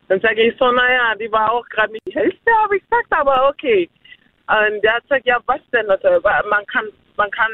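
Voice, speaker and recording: A woman's voice: a little high, dry recording. A woman in a casual chat who rambles, repeats herself and giggles as she talks. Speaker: guest sharing her views. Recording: dry tinny phone-like sound.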